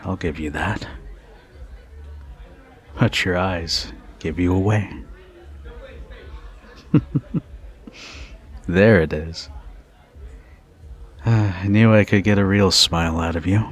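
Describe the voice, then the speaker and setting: A man playing a confident, protective bartender in an ASMR roleplay, teasing and flirty in an intimate, unhurried manner. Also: deep voice. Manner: teasing, unhurried, with soft chuckles and pauses. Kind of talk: ASMR roleplay, intimate second-person monologue.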